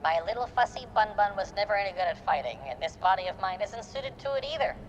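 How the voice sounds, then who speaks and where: A woman's voice: medium pitch, lively intonation, quick pace, dull audio, slight background noise, close to an everyday voice. An actress playing a polite, apologetic woman in a video game who speaks genteelly.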